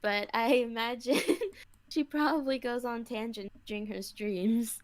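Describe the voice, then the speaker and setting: A woman's voice: fairly high pitch, steady tone, measured pace, dry recording. A giggly woman chatting casually as a podcast co-host. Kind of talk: casual chat.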